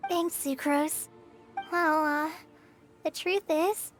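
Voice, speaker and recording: A woman's voice: high voice, fairly even intonation, slow pace, soft background music. A woman voicing an anxious, self-doubting teenage girl ranger trainee in a video game, with a hesitant, earnest manner. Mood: troubled, confiding.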